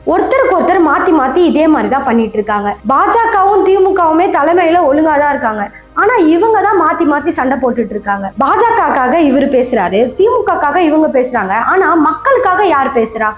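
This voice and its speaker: A woman host speaks in a high voice at a quick clip. She gives an informal, conversational explainer, and the room adds a noticeable echo. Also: sing-song, faint music behind. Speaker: channel host. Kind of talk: informal explainer commentary on social media feuds.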